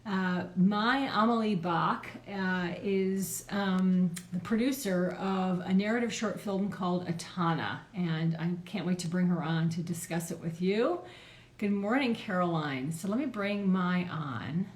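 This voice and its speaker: A woman's voice: fairly low pitch, a little slow. A woman hosting a live-streamed interview show, welcoming her guest in an upbeat, casual way. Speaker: show host. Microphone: live video stream from home.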